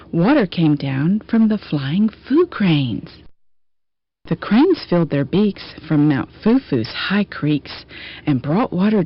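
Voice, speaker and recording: A woman narrates a rhyming picture book for children. Her delivery is expressive and melodic, rising and falling freely as she tells the story. The recording is a little dull and lo-fi. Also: lowish voice, breathy edge. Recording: dull, lo-fi audio.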